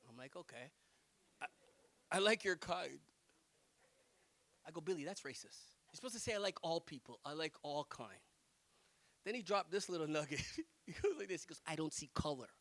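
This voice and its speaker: A young man performing a stand-up comedy set at a wedding reception on a handheld mic, telling animated stories and laughing at his own jokes. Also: a little high, normal speed, pauses often, dry recording. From Jamaica. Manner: animated storytelling with self-amused laughs.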